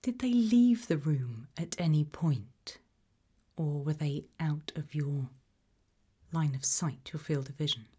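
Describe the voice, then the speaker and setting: A British woman whispering in a breathy voice, asking gentle, measured questions as an interviewer in an ASMR roleplay. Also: sits low.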